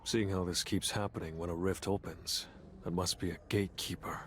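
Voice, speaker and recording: A man's voice: low voice, fairly even intonation, brisk, a little hiss or hum, natural voice. A man playing a cool, guarded young hunter in a video game, restrained and serious. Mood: calm, focused. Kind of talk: scripted video game cutscene acting. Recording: music behind the voice.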